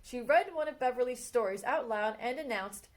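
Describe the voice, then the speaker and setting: Expressive higher-voiced woman reading aloud. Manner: expressive storytime reading, slowing on exciting moments. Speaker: children's librarian. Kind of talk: children's read-aloud of a picture book biography.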